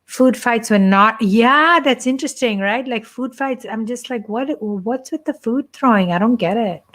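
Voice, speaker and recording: A woman's voice: on the high side, normal speed, fairly bright tone. A middle-aged woman from India with an Indian accent, hosting a playful, chatty live stream for her church and joking with viewers. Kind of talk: casual live-stream chat.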